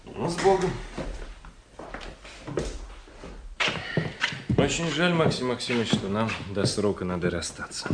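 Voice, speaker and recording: An actor playing a reserved, polite gentleman traveller who narrates and speaks in a literary radio play, measured and reflective. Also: mid-range voice, sing-song, natural voice. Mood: restrained courtesy. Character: reserved, courteous gentleman traveller telling his story. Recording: noisy old radio drama recording.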